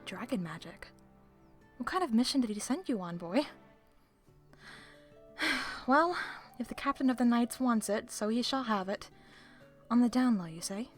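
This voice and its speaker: A woman's voice: on the high side, expressive, a little slow, long pauses, faint music behind. A woman playing a sly, self-assured librarian with arch, playful, theatrical phrasing in an audio roleplay. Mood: knowing, businesslike.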